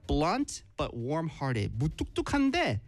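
영어와 한국어를 오가며 활기차게 이야기하는 여자 라디오 진행자. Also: female voice, low-pitched, very slow, soft background music. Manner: switches between English and Korean, lively. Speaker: radio host. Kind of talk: bilingual radio chat.

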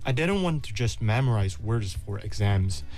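A man's voice: lowish voice, lively intonation, normal speed, a little mellow. A young man in his twenties with a Korean accent, a student answering interview questions earnestly and thoughtfully. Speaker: guest, university student. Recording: faint background music.

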